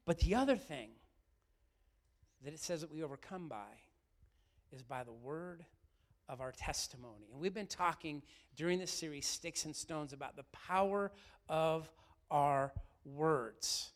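Brief: middle-aged male pastor, high voice, slightly raspy, lively sermon that keeps asking questions. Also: unhurried. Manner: lively, asks the room questions often.

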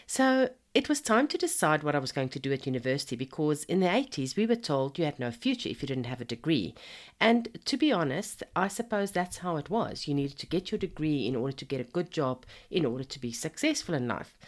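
A woman in her fifties who is hoarse from a cold, hosting a podcast in a chatty, encouraging way that speaks to the listener as a friend. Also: deep voice, sing-song, a little fast. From Zimbabwe. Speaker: podcast host. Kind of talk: casual motivational podcast monologue.

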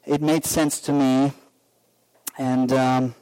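A pastor preaching a sermon, telling a personal story in a slow, earnest way with many pauses and fillers. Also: male voice, mid-range voice, level tone, mellow, clear, resonant tone, poor audio.

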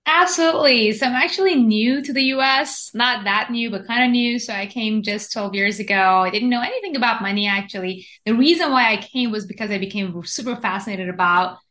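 Podcast guest: woman in her thirties from Iran, bright and lively, somewhat high voice, fast self-correcting storyteller. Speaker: guest, investing educator. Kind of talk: casual podcast interview.

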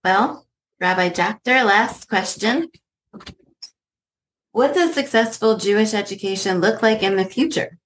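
A woman interviewing a guest with prepared questions, polite, measured and affirming. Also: mid-range voice, poor audio. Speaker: podcast interviewer.

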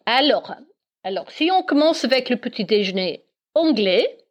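A woman's voice: a little high, very animated, moderate pace. Hesitant woman in her sixties. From Northern Ireland. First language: English. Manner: hesitant, searches for words, many fillers. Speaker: guest, English teacher. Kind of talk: casual interview.

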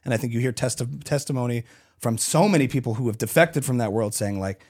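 A man in an opinionated, animated conversation, arguing emphatically and piling clause upon clause. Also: medium pitch, fast talker, breathy edge. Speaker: podcast guest.

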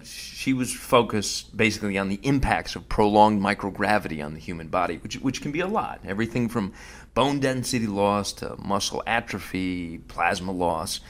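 A man's voice: on the low side, a bit bright. A man hosting a podcast, narrating his visit in a casual, conversational way with fillers and restarts. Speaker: host.

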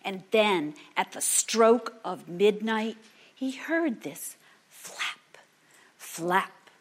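Animated, unhurried woman storyteller. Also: mid-range voice. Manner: dramatic, drops into hushed whispers for suspense. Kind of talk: oral folktale storytelling to an audience.

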